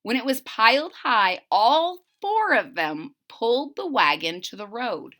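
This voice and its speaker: Female storyteller for young children; fairly high, unhurried, expressive picture-book delivery. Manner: deliberate, expressive, child-directed. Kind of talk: expressive read-aloud with simple recaps.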